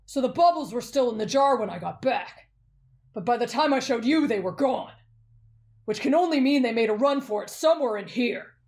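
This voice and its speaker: A woman voicing a brash, bossy young male warrior in an anime fan roleplay, blunt and gruff in manner. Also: a little high, animated, moderate pace, a little mellow. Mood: brash, stubborn.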